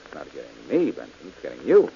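A man's voice: extremely low pitch, huge pitch swings, fast, creaky, dark tone, husky, heavily acted. A man playing a cool-headed, mocking detective in an old radio drama. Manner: cool, mocking and self-assured. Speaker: detective hero. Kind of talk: 1940s radio acting. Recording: dull old radio recording with hiss.

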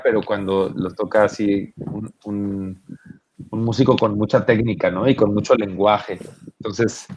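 A man's voice: fairly low pitch, darkish tone, dull audio, slight background noise. A man from Mexico, a drummer guest on a video call, speaking in a thoughtful, hesitant way. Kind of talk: casual chat.

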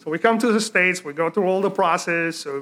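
A man's voice: high-pitched, brisk, a bit bright. A man in his sixties from Romania, with Romanian as his first language, telling his life story in a casual, rambling interview full of fillers and restarts. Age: 60s. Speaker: guest.

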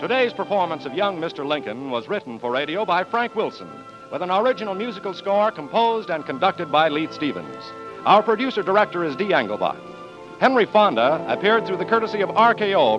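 Animated, high-pitched American radio announcer man. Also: a little fast. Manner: formal, polished delivery. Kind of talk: scripted 1940s sponsor narration and announcing. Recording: muffled 1940s radio broadcast with music.

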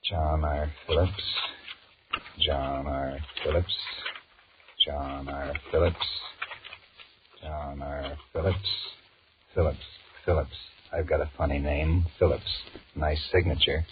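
An actor playing a weary, sullen two-timing American office man in a 1940s radio drama, musing in a deadpan mutter. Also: a bass voice, takes it slowly. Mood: weary, grumbling. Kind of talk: 1940s radio drama acting, inner monologue. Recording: muffled, noisy 1940s radio recording.

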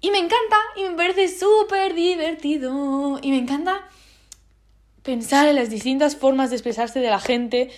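A woman's voice: high-pitched, moderate pace, darkish tone. Rambling young woman with a Spanish accent. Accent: Spain Spanish. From Spain. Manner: rambling, lots of restarts and fillers, slangy. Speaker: vlogger. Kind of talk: casual vlog monologue.

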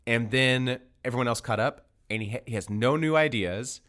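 A man's voice: mid-range voice, moderate pace, fairly bright tone. A man hosting a sports podcast in casual, comedic banter, arguing insistently and repeating himself for comic effect.

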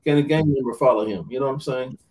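A man's voice: medium pitch, fast talker, darkish tone, recorded from a distance, low-quality recording. An earnest male minister talks through his points in a podcast interview. He stumbles, restarts and repeats words as he goes. His voice sits a little back from the microphone.

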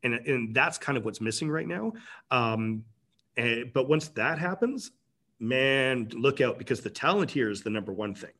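A middle-aged man speaks as an interview guest in a relaxed, rambling way. He restarts his sentences often and fills the gaps with "you know". His voice sits at an ordinary mid pitch. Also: normal speed. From Canada. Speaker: tech company president, guest. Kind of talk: casual interview talk.

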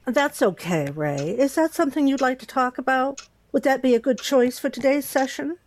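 An actress playing a calm, encouraging virtual therapist in an audio drama, with a gentle, measured, professional manner. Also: female voice, high-pitched. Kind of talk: horror audio drama acting.

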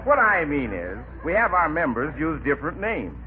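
Club official: formal, fussy, animated delivery, old-time radio comedy with scratchy, limited-band sound. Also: male voice, on the high side, moderate pace, phone-quality sound. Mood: formal, helpful. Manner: formal, explanatory, patient. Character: officious, fussy male social club interviewer.

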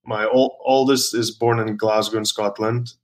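Rugby player guest, thirties, from South Africa, slightly low voice, loose rambling delivery. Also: male voice, flat delivery, normal speed. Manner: rambling, many fillers and restarts. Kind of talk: casual interview chat.

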